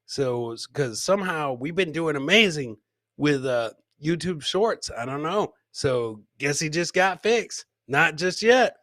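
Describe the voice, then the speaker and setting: A bright-voiced American man hosts a live clip show with casual, exclamatory commentary. His voice sits fairly high and is recorded very dry and close to the mic. He likes to repeat his phrases for emphasis. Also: expressive, measured pace, no room sound. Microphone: close boom studio mic with headset.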